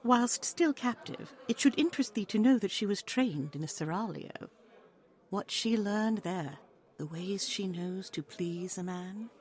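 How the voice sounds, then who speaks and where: A woman's voice: mid-range voice, expressive, a little slow, darkish tone, close to an everyday voice. A woman voicing a bold, wily tavern seductress in an audio play, coaxing in formal archaic English. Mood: sly, coaxing.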